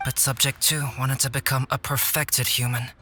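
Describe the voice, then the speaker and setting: Composed young male alchemist for a fantasy game: mid-pitched, bright, articulate, measured storyteller. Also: flat delivery. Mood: solemn storytelling. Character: calm, scholarly young male alchemist, quietly mischievous. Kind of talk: video game voice acting, storytelling.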